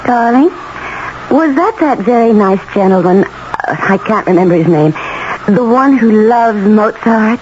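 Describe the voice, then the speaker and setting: On a muffled, noisy vintage radio recording, a flighty, adoring wife. Her highish voice gushes affectionately, moving up and down in theatrical swoops. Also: animated, unhurried. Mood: adoring, gushing. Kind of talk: 1970s radio drama acting.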